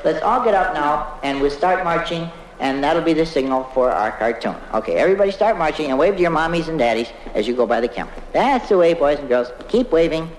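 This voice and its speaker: A man's voice: on the high side, expressive, fast talker, few pauses, natural voice. A man playing a flustered, smarmy children's TV host in a comedy monologue, hesitant and stammering, talking down to children. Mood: falsely cheery. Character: flustered, smarmy male children's TV show host, "Uncle" type. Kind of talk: live comedy monologue, halting letter reading. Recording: echoey noisy old live recording, audience laughter.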